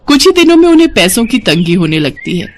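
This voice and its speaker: A man's voice: high voice, big pitch swings, quick pace. A man narrating a scripted moral story for a cartoon.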